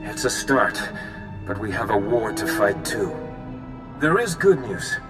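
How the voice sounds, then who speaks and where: An actor playing a grave, determined army commander briefing in a video game. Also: male voice, lowish voice, big pitch swings, a little slow. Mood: resolute. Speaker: military officer giving briefing. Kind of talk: video game cutscene acting. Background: music.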